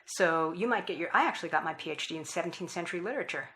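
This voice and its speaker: A woman's voice: lowish voice, racing, a little hiss or hum. A middle-aged woman, a philanthropist and former professor, talking thoughtfully in an interview and often restarting her sentences. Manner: thoughtful, with frequent restarts and soft laughs. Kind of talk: conversational interview.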